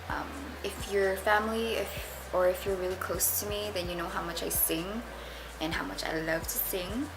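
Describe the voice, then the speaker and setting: Low-voiced young woman chatting casually. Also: noisy background. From Philippines, moved to the United States at eleven. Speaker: vlogger. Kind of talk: casual get-to-know-me chat. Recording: music playing behind the voice. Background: music.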